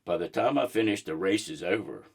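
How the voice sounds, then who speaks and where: Low, gravelly man reading a storybook. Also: animated, fairly quick. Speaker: guest reader reading a children's book aloud. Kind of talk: storybook read-aloud narration.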